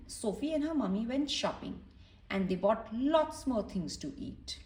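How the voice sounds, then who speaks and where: A woman with a high, swooping voice reads a picture book to small children at an unhurried pace. She explains things in simple words and checks in with questions. She sounds a little way from the microphone in an echoey room. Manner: animated, explaining simply, asking the listener questions. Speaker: children's story reader. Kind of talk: storybook read-aloud with explanatory asides to young children. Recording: roomy echo, distant from microphone.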